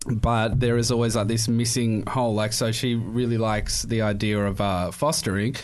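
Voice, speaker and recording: A man, chatting loudly and rambling as a podcast guest, talking into a studio mic on a boom arm. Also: flat delivery, moderate pace. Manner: loud, rambling and self-deprecating. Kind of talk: casual chat. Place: dark studio room with a party table.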